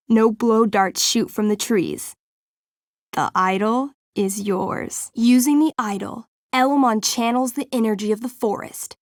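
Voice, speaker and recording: Young female game master: theatrical fantasy narration, unhurried and suspenseful, mid-pitched, close dry sound. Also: creaky, no room sound. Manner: theatrical, suspenseful, deliberate storytelling. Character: young woman game master narrating fantasy tabletop adventure, playfully dramatic. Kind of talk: dramatic tabletop role-playing narration.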